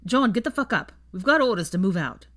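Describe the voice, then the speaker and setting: A woman's voice: deep voice, animated, takes it slowly, creaky, a bit bright, no echo, studio quality, exaggerated voice. A woman voicing a dutiful, impatient young male trainee with a curt, urgent manner in an audiobook story.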